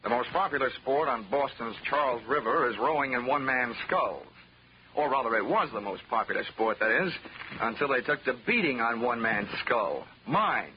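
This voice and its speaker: A man's voice: mid-range voice, lively intonation, normal speed, slight background noise, close to an everyday voice. An actor playing a sardonic male insurance investigator, narrating in 1940s radio drama style with dry wordplay. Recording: muffled 1940s radio recording with music.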